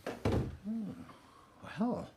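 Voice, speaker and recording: An actor playing an earnest, good-natured Victorian doctor who sounds eager and a little flustered in a radio play. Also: male voice, very slow, very noisy. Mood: polite, eager. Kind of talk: radio drama acting.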